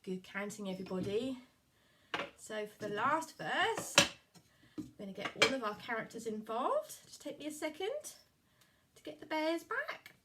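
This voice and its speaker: A woman's voice: a little high, very animated, normal speed, heavy background noise. A woman telling a puppet story to young children in an encouraging, sing-song way.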